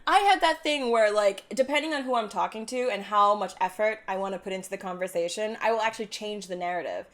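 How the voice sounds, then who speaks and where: A woman's voice: medium pitch, quick pace, a bit bright. A woman who grew up in Hong Kong, co-hosting a casual conversation and asking chatty, curious questions. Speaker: co-host.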